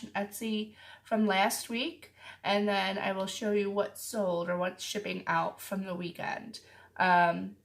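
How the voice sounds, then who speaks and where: A woman who is getting over a cold, with a raspy voice, chatting casually to her viewers about her weekly sales and filling her talk with frequent ums. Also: mid-range voice, fairly bright tone. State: sick, with a cough. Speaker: reseller vlogger. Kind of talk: casual chat to camera.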